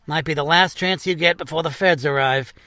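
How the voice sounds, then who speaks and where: Gruff senior cop in an audio drama, sharp pushy voice, bit high, dry sound. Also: male voice, fairly quick, dry recording. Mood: grim, weary. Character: brusque, prejudiced male senior police officer.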